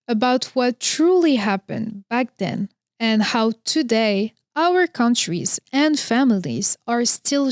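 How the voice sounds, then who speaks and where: A woman in her thirties from Morocco, hosting a podcast and telling her own story in a slow, reflective, earnest monologue. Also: a little high, no echo, studio quality. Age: 30s. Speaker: podcast host. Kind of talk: scripted personal monologue.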